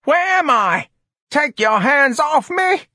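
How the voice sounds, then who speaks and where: A man's voice: high-pitched, wildly swooping pitch, drawn-out words, sharp tone, slightly rough, no room sound, studio quality, pitched above the performer's own voice, exaggerated voice. A man voicing a fierce, senile old woman in an audiobook, snarling, mumbling and demanding.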